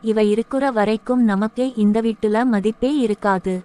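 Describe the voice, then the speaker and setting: Woman playing a smooth-voiced, jealous young sister-in-law. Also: mid-range voice, steady tone, normal speed, warm tone, close to an everyday voice. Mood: scheming, resentful. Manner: resentful, plotting, speaks thoughts aloud. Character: jealous, scheming younger daughter-in-law in a family household. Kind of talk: animated moral short voice acting.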